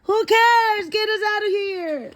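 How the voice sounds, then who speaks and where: A woman voicing excitable schoolchildren from a storybook, exclaiming with urgency. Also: very high-pitched, takes it slowly, voice pushed up, full character voice. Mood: exasperated, worried. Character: excitable schoolchildren on a field trip, alarmed and curious.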